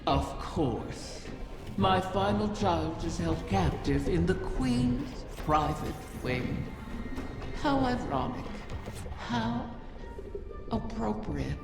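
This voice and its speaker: A woman voicing an ancient female wish-granting dragon in a video game, wistful, sly and regal, with ornate, deliberate phrasing. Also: on the low side, lively intonation, heavily acted. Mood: bitter, rueful. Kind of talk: slow theatrical game dialogue. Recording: long cavernous reverb, music behind.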